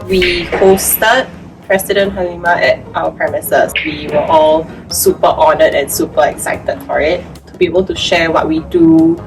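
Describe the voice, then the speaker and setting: A woman's voice: low-pitched, steady tone, moderate pace. A woman who works at a food bank, reminiscing casually in an interview. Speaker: food bank staff member. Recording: slightly distant mic under background music. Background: background music.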